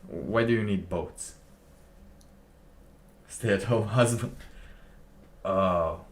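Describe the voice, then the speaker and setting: A man streaming alone, reacting to a clip with slow, musing casual commentary and laughing to himself. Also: on the low side.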